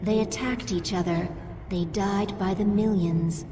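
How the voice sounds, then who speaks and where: A woman voicing a dutiful female alien advisor in a video game, reporting in a calm manner. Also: medium pitch, unhurried, background noise, lightly acted. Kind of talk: video game cutscene voice acting.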